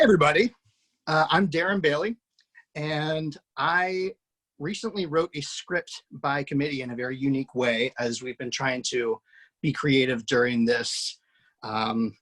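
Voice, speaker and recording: A man's voice: a little high, unhurried, a bit bright, poor audio. A man hosting over a video call, casually introducing a cast with effusive praise, loose and full of fillers. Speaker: host introducing a table-read cast.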